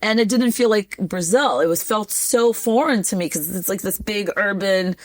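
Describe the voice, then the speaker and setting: A woman in her forties with an American accent, telling her story as a guest in a casual interview, animated and quick with a laugh. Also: medium pitch, no echo. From United States (New Jersey). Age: 40s. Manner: animated, chatty, laughs often, quick asides. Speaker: podcast guest.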